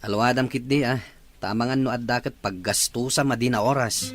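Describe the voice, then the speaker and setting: A man's voice: mid-range voice, fairly even intonation, a little fast, no room sound, faint music behind, lightly acted. A man playing an easygoing drinking buddy in an Ilocano audio drama.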